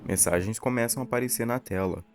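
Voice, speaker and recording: A man's voice: medium pitch, fast, slight background noise. A man with a Brazilian accent, telling a horror story as scripted narration for an explainer video.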